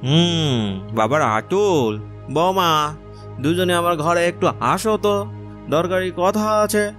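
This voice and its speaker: An old village grandfather, acted by a man, ponders aloud in a slightly high male voice. He is cautious, brooding and authoritative, a cartoon elder with faint music behind him. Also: measured pace, fairly bright tone. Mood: grave.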